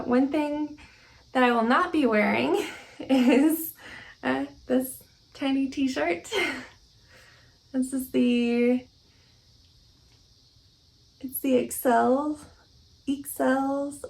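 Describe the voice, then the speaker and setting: Slow, gravelly-voiced young woman. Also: high voice. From Oklahoma, United States. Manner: relaxed, many ums and small laughs. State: slightly scratchy from allergies. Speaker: knitting podcast host. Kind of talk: casual chat to camera. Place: at home, indoors.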